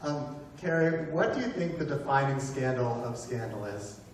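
A man's voice: mid-range voice, animated, normal speed. A man moderating a cast panel on stage, asking questions in a casual, slightly hesitant way. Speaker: moderator, interviewer. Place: theater stage before an audience. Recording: very echoey, distant, crowd noise.

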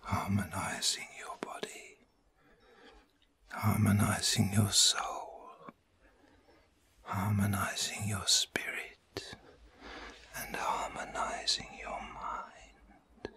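A man in his fifties giving a soothing, breathy whispered ASMR relaxation as a reiki healer, gentle and unhurried. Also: on the low side, level tone. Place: room at home.

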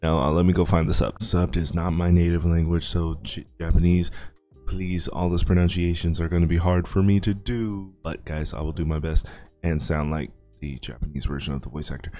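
A man's voice: low-pitched, steady tone, a little fast, mellow, faint music behind. A man, a YouTuber and aspiring voice actor, rambling casually while playing a game. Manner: rambling, chatty, self-deprecating. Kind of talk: casual gameplay commentary.

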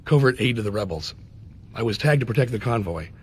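An actor playing a stoic former Army Ranger and special agent with grave, clipped delivery in a video game. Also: male voice, medium pitch, animated, fast talker, husky. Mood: grave testimony. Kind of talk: scripted game cutscene acting. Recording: game audio with background noise.

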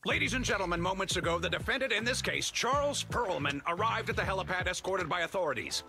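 Sharp, high, urgent reporter. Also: male voice, animated, fast, creaky, soft background music, exaggerated voice. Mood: urgent, dramatic. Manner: breathless announcing. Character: excitable on-scene news reporter, dramatic and urgent. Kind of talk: video game voice acting of news reporting.

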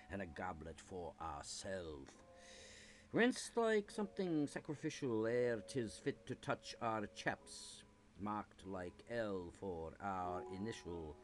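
A man playing a spiteful, seething monk in a theatrical, sneering poetry recitation. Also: on the low side. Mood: mocking. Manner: sneering, theatrical, sudden swells and drops. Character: spiteful, seething monk hating his fellow friar.

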